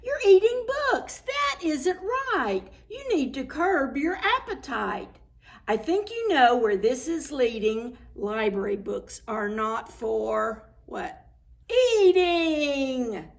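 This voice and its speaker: A woman's voice: sits high, sing-song, slow pace, creaky, full character voice. A woman voicing a stern female school principal from a picture book, reproving and emphatic. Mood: stern scolding.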